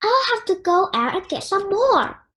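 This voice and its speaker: Child acting as exasperated storybook mother, scolding, commanding, high voice, lively intonation. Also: a little slow, slight background noise, full character voice. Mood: flustered.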